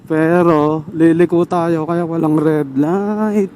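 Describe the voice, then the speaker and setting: A man's voice: a little high, fairly even intonation, slow pace, dark tone, slight background noise. A man with a Filipino accent casually muttering and swearing to himself as he vlogs from a motorcycle through a helmet intercom mic. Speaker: motorcycle vlogger. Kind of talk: casual motovlog chat while riding. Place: outdoors on a motorcycle in traffic. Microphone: helmet intercom microphone.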